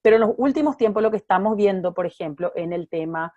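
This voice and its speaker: A hoarse woman still getting her voice back gives an informal lecture to a class over a video call. She works through her ideas with plenty of fillers and restarted phrases. The audio is dull and dry, with no room sound. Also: mid-range voice, expressive, fast, no echo. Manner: thoughtful, many fillers and restarts. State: hoarse, recovering from voice loss. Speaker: lawyer giving an online talk.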